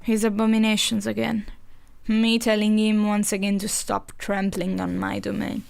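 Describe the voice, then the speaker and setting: An actress playing a territorial, indignant nature goddess in a fantasy audio drama, exasperated and argumentative. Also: female voice, mid-range voice, flat delivery, normal speed, gravelly, a little mellow.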